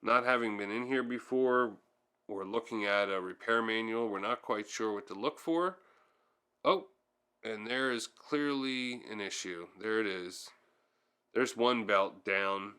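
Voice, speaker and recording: A man's voice: lowish voice, unhurried, pushed and bright, clean tone. A man giving casual, hands-on how-to narration as a tutorial host, thinking aloud a little hesitantly as he goes.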